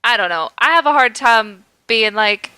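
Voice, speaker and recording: Woman, podcast co-host, bright voice, dry studio sound, giggly, enthusiastic. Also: a little high, lively intonation, normal speed, smooth, dry recording, rough recording. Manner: giggly, enthusiastic fan talk. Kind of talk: casual chat.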